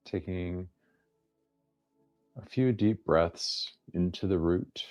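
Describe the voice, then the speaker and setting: A man leading a guided meditation as its teacher, speaking in gently inviting instructions with long pauses between phrases. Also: low voice, faint music behind.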